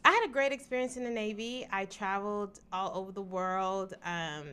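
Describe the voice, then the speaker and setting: A woman's voice: mid-range voice, normal speed, bright, forceful tone, smooth. A woman, answering interview questions in a studio in an easygoing, reflective way. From Jamaica. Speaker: interview guest.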